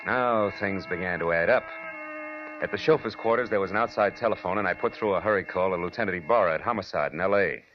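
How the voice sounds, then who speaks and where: An actor playing a wry, tough private detective, narrating in clipped 1940s radio drama style. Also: male voice, deep voice, fast, natural voice. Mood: wry, brisk. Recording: dull old radio recording, music.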